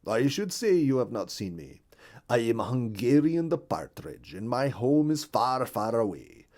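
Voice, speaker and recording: Man reading as a timid, mellow partridge newcomer. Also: medium pitch, sing-song, a little slow. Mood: wary, polite. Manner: formal, earnest storybook speech. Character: polite newcomer partridge from far away, timid and confused.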